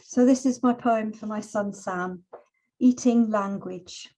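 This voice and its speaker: Middle aged woman, soft mellow tone, reflective, sounds like a poet sharing her work. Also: mid-range voice, slow, poor audio. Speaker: poet and teacher, invited guest. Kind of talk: relaxed personal talk and poetry reading.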